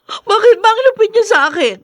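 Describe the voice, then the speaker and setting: A meek, rejected daughter in a podcast drama. Her voice is extremely high and swings widely, plaintive, timid and pleading. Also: expressive, a little fast, fairly bright tone. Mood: hurt, pleading. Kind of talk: Filipino podcast radio drama acting.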